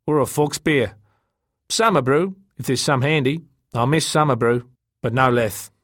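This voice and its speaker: A man's voice: medium pitch, a little slow, a bit bright, no room sound, studio quality, voice pushed up. A man voicing a weary, stubborn spaceman, firm and plain-spoken, in an audiobook story. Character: weary, stubborn spaceman, still hoping to leave.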